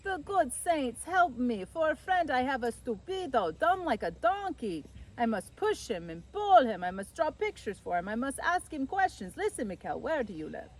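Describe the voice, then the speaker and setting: Woman voicing a proud, grumbling, animated fisherman. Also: high-pitched, normal speed, pitched above the performer's own voice, low-quality recording. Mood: exasperated. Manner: exclamatory, repetitive, mock-exasperated. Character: proud, teasing, lazy fisherman man, grumbling and dramatic. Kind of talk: children's storybook character reading.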